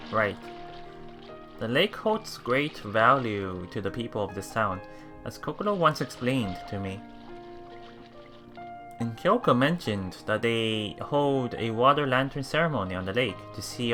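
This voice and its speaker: Bright, animated let's play streamer. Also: mid-range voice, unhurried, faint music behind. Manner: excitable, repeats words and phrases. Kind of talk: reading game text aloud with casual reactions.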